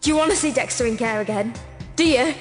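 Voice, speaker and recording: A woman's voice: on the high side, fast, faint music behind, natural voice. An actress playing a bitter, wary mother in a radio drama trailer. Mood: bitter, defensive.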